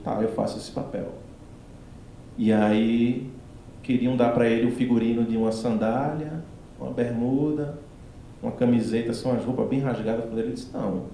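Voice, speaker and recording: A man from Recife with a strong Pernambuco accent, telling a story animatedly in a casual discussion after a film screening. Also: medium pitch. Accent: Pernambuco Northeastern Brazilian. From Recife, Pernambuco, Brazil. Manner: animated storytelling, laughs often. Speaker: actor, panel guest. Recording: very echoey hall, some noise.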